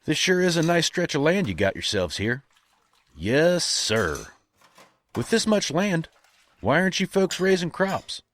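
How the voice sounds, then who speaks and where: A man's voice: fairly high pitch, big pitch swings, unhurried, a bit bright. A man playing a polite wandering cowboy in a comedic western audio drama, courteous and a little bumbling, with an American drawl.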